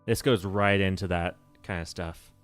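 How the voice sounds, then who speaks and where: A man's voice: deep voice, fairly even intonation, normal speed, clear, resonant tone, no echo, soft background music. A man chatting casually on a game livestream, rambling and correcting himself as he goes, with a nerdy, laughing manner. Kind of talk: casual livestream chat while playing.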